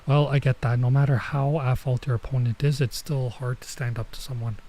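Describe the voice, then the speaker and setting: A man streaming a visual novel, reading it aloud with casual commentary and thinking out loud as he goes. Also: medium pitch, little pitch movement, a little fast. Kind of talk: let's play read-aloud with casual commentary.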